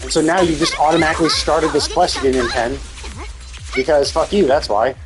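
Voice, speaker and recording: Male streamer, somewhat high register, informal and sweary, talking through game opinions at length over faint music. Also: steady tone, brisk. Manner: profane, informal; rambling, explanatory, opinionated. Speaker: live streamer. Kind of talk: casual game stream commentary.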